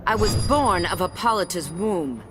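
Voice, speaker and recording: Woman as lower-voiced, proud Amazon warrior. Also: lively intonation, moderate pace, long reverb tail, background noise, close to an everyday voice. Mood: scornful. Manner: stately, severe. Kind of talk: video game character dialogue. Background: loud music.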